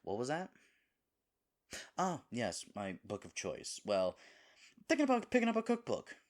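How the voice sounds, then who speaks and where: A man's voice: mid-range voice, wildly swooping pitch, measured pace. A man playing a devoted, goofy boyfriend nervous about proposing, rambling and chiding himself earnestly in a scripted romantic audio roleplay. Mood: playful bluffing.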